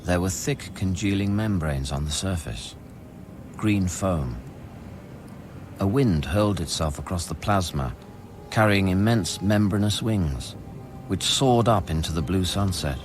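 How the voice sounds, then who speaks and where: A haunted scientist narrating a radio drama in a very low voice. He speaks in measured, inward-looking, literary phrases as he recounts life on an alien world. Music plays clearly behind him. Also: lively intonation. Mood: brooding, awed.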